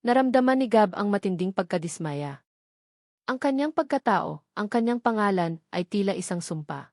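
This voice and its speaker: A woman's voice: medium pitch, lively intonation, moderate pace, a little mellow, no room sound, clean studio sound. A woman reading a scripted romantic drama as a storyteller, in narration style.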